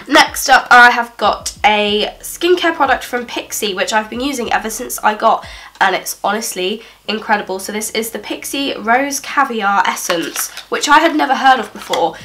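A woman's voice: mid-range voice, sharp tone. A twenty-one-year-old woman with a blocked nose from a cold, chatting upbeat through a birthday haul in a small living room. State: congested from a cold. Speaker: beauty vlogger. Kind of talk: casual haul vlog.

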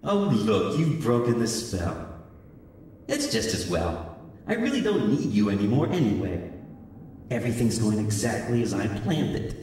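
Smug, swooping scheming monkey villain. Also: male voice, on the low side, big pitch swings, normal speed, darkish tone, slight background noise. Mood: smug, coaxing. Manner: smug, taunting, theatrical. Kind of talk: cartoonish video game voice acting.

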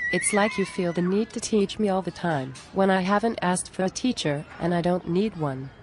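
A woman performs a plain-spoken cartoon woman at the end of her patience. She pleads in measured, reproachful phrases with a slightly low voice. A faint layer of noise sits behind her. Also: a little mellow, close to an everyday voice. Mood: hurt, reproachful. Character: exasperated, plain-spoken woman pleading with an arrogant spiritual friend. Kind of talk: scripted animated dialogue.

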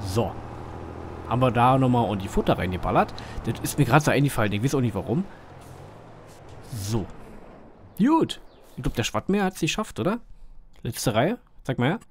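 A man's voice: mid-range voice, very animated, moderate pace, long pauses, slight background noise. A man with a Berlin dialect, casually commenting on a farming game as the channel's host, rambling and thinking aloud with lots of fillers.

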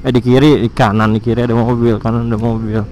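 A man's voice: mid-range voice, level tone, quick pace, over music, low-quality recording. A young man chatting and joking to himself while riding a motorcycle through city traffic. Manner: chatty, joking, slangy, teasing other riders. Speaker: motovlogger. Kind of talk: casual riding commentary.

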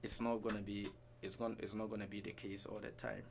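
A man in his thirties with a Ghanaian accent, a guest chatting in a radio studio, relaxed and mixing in Twi phrases. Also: on the low side, brisk, gravelly, music in the background. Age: 30s. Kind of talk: casual radio interview. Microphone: headset and desk mic.

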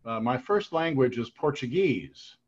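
Slow, halting older man, muffled audio. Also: medium pitch, animated. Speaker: moderator. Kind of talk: informal moderating of online questions. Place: home room, on a video call. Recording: dull, muffled video-call audio.

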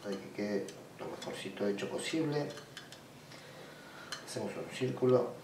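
A man with an Argentine Spanish accent giving an informal step-by-step demonstration in a halting way, often rephrasing himself. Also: lowish voice, expressive, background noise. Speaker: ritual practitioner giving a tutorial. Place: small indoor room at a table. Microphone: camera mic away from the speaker. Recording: roomy sound, distant microphone.

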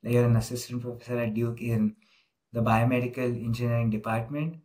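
A man's voice: mid-range voice, little pitch movement, fairly quick, darkish tone. A man hosting an informal interview over a video call, talking casually with frequent fillers. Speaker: interviewer, host.

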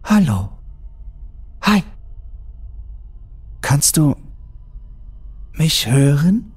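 A man voicing a polite, calm, reassuring woman speaking from a safe in a horror audiobook. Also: a little high, very slow, husky, soft background music, pitched above the performer's own voice, full character voice. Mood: polite, inquiring.

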